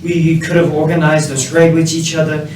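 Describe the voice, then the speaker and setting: A man's voice: on the high side, flat delivery, moderate pace, darkish tone, natural voice. A man playing an earnest, hesitant, politely reproachful delegate in a comic radio play. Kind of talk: radio play comedy acting. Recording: echoey, distant, faintly noisy.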